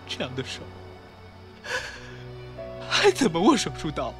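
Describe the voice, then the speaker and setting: A man's voice: a little high, wildly swooping pitch, measured pace, fairly bright tone, heavily acted. Man acting a weary, guarded former surgeon, music behind. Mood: anguished, bitter. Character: weary male former surgeon in his thirties, evasive, wounded. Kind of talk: audio drama acting in Mandarin.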